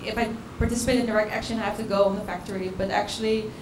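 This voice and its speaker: Chatty woman, flat voice, echoey hall. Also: medium pitch, very fast. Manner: chatty, full of "like" fillers. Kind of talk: casual panel discussion. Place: event venue. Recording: echoey hall with background noise.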